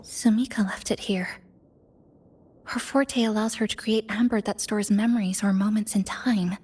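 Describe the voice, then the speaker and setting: An actress playing a composed young woman in a video game, speaking in a measured, reflective way. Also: medium pitch, steady tone, darkish tone, husky, lightly acted. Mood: calm, explaining. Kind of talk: video game cutscene voice acting.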